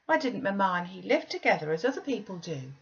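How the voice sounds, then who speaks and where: A woman's voice: medium pitch, sing-song, quick pace, muffled recording, pitched above the performer's own voice. A woman voicing a frail, anxious, questioning young boy in an audiobook reading of a classic novel. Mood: perplexed, plaintive.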